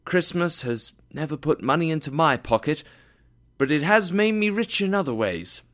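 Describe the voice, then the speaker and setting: A man voicing a cheerful, warm-hearted young nephew in a storybook tale, earnest and eager. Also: mid-range voice, sing-song, normal speed, natural voice.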